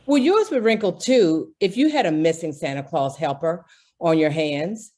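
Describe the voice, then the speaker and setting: A woman's voice: deep voice, expressive, normal speed, a little mellow. A woman playing a harried, earnest department store executive in an old-time radio drama, exasperated and emphatic. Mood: worried.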